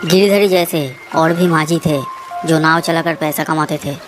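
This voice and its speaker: A woman's voice: low voice, steady tone, normal speed, music in the background. A woman reading a scripted horror story as its narrator. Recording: noisy track with running water sounds.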